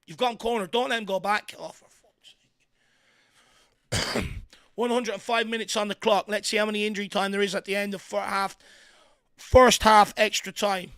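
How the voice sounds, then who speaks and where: An Irish man hosting a fan watchalong, giving excitable partisan live commentary on a football match with a croaky, worn voice. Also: high voice, normal speed, long pauses. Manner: excitable partisan, shouts encouragement at players. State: voice going croaky from hours of talking.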